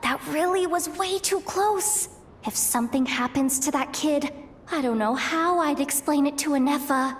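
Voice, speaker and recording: A woman plays a young video game character with a high voice, wry and grumbling yet helpful. Her delivery is expressive, with noticeable background noise. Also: normal speed, natural voice. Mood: relieved. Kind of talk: animated video game voice acting.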